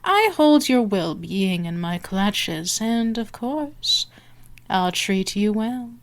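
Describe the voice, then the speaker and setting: A woman's voice: mid-range voice, very animated, slow. A woman playing a dominant female vampire for an ASMR roleplay, teasing, condescending and menacing, moving between murmurs and whispers.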